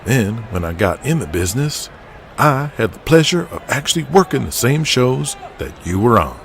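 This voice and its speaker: A man voicing a cocky, smirking male wrestler in an audio drama, laying on grandiose, drawn-out flattery. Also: medium pitch, creaky, slight background noise. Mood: crude, admiring. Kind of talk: audio drama character acting.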